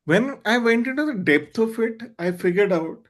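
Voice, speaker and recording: A man with a high voice hosts a club meeting over a video call. His is Hindi, and he talks in an earnest, candid way, reaching for words with small fillers. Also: animated, moderate pace. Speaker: meeting host. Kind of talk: online club meeting talk.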